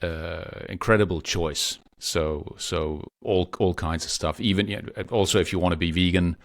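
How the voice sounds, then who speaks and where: A man, a podcast guest, who talks in a halting way with many restarts. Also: deep voice, steady tone, no room sound, clean studio sound. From Denmark. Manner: hesitant, many restarts and fillers. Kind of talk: conversational interview.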